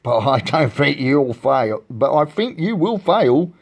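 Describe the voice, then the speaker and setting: A man's voice: medium pitch, lively intonation, takes it slowly, darkish tone. A man voicing a nasty, mighty storybook eagle, sneering and boastful.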